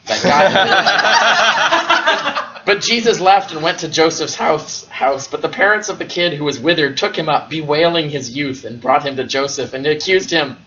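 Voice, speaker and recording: A man's voice: fairly high pitch, moderate pace, fairly bright tone, lo-fi sound. A man giving an amused dramatic reading of an old text at a social gathering, laughing between passages. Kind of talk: dramatic reading with comic asides.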